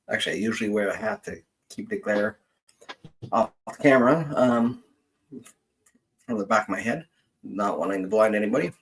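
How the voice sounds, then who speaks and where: A middle-aged man hosting a casual livestream as a genealogist, rambling and thinking aloud in a halting way. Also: mid-range voice, a bit bright, breathy edge, poor audio.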